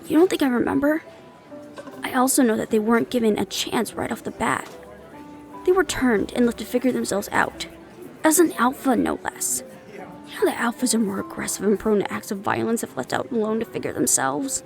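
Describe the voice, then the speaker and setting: A woman's voice: fairly high pitch, animated, brisk, vocal fry, faint music behind. A woman acting in an audio roleplay as a young adult daughter, defiant, loyal and argumentative, with an emotional, sarcastic edge. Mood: defensive, indignant.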